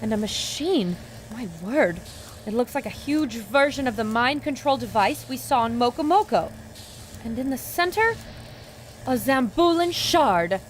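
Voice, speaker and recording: A woman's voice: fairly high pitch, expressive, a little slow, slight background noise. An actress playing a plucky, bold woman reporter with an earnest, theatrical delivery in a pulp adventure audio drama. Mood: brisk, wondering.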